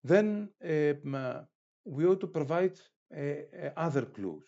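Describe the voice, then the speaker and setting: A man giving a formal instructional lecture in a halting, hesitant way, with pauses mid-phrase. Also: a little high, expressive, vocal fry, warm tone, lo-fi sound. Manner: formal, academic wording. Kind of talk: instructional lecture, explaining step by step.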